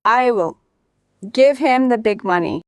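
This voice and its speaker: Female interview guest, high voice, dry close sound. Emphatic personal storyteller who repeats phrases for weight. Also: lively intonation, unhurried, no room sound. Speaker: interview guest, former sprinter.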